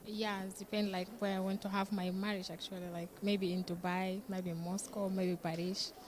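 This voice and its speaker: A woman from South Sudan answering street interview questions in a relaxed, confident way, often saying "like". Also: medium pitch, moderate pace, slight background noise.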